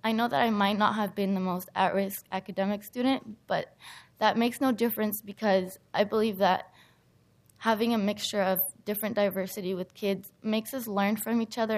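A teenage young woman, a high school student, giving an earnest prepared speech in public. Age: teens.

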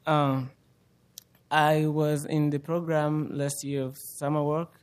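A man's voice: fairly high pitch, level tone, takes it slowly, clear, resonant tone. A teenage young man from Congo with a Congolese accent, a student speaking hesitantly in public. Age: teens. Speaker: high school student giving testimony. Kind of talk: public speech.

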